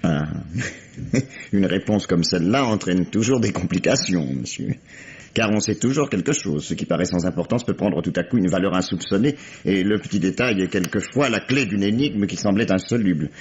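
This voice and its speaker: A low-voiced, courteous police commissioner. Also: male voice, expressive, darkish tone. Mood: probing. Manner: formal, polite, measured questioning. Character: courteous, patient, probing male police commissioner. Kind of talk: old French radio mystery acting. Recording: dull, noisy old radio drama recording.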